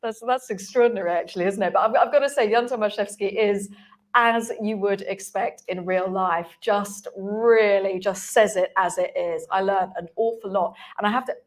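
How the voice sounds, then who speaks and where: A woman's voice: medium pitch, moderate pace. Chatty woman panelist. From England. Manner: candid, chatty, many restarts. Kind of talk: panel discussion. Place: conference hall.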